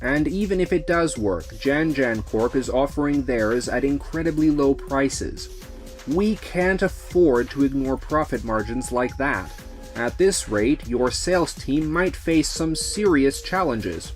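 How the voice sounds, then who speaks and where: A man's voice: mid-range voice, lively intonation, measured pace, natural voice. Earnest, courteous businessman with music behind, acted by a man. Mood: grave, concerned. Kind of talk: manga dub character acting.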